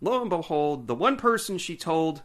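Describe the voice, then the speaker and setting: A man's voice: fairly high pitch, animated, normal speed, a bit bright. A man co-hosting a podcast who chats casually with wry, rambling asides and dry jokes. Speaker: podcast co-host. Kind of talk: casual chat about a TV episode.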